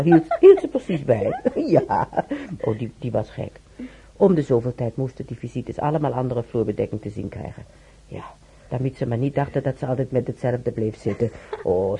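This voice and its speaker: A woman's voice: rumbling low, lively intonation, normal speed, dark tone, exaggerated voice. A woman playing a talkative, wry old hospital patient and former maid in a radio drama, rambling colloquially and muttering to herself. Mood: indignant, amused. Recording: clearly noisy old radio recording.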